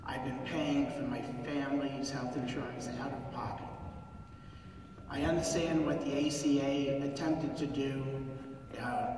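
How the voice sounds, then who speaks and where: A man in his fifties, a physician, speaking at a town hall in a halting, earnest way and clearing his throat between phrases. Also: medium pitch, noisy background. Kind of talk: public comment at a town hall. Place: large theater hall. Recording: echoey theater heard from far off.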